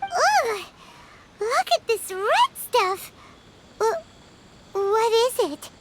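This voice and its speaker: Very high, squeaky little fairy. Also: female voice, sing-song, drawn-out words, slight background noise, full character voice. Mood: startled. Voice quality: squeaky, childlike. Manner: exclamatory, wide-eyed questioning. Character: tiny, excitable, childlike floating fairy companion. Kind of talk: video game voice acting.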